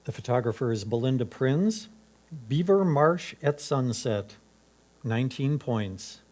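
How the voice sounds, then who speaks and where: A man with a medium-pitched voice serves as a competition announcer. He reads out credits, titles and scores in a formal, orderly style. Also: expressive, unhurried, darkish tone.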